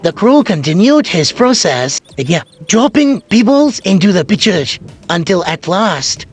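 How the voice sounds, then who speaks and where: Slow, high-pitched, animated male storyteller. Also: slightly rough. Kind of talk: children's fable narration. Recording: noticeable background noise and ambience.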